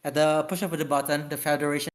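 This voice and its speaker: An amateur reading fan fiction aloud and chatting casually, halting and often repeating words. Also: mid-range voice, little pitch movement. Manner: halting, stumbling over words and repeating them. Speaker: author reading own story aloud.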